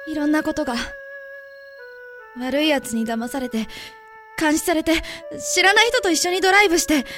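A woman's voice: high-pitched, normal speed, pushed and bright, faint music behind. A woman playing an anxious but capable young medical student in a Japanese amateur voice drama. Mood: frightened, confiding.

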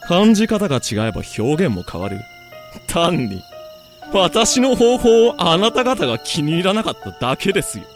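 A man's voice: sits high, very animated. Guy doing a polite smug villain for an audio drama, swings up and down a lot, music behind. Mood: smug, lecturing. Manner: polite, measured, theatrical. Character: calm, smug, philosophizing male villain who experiments on life. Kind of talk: Japanese voice drama acting.

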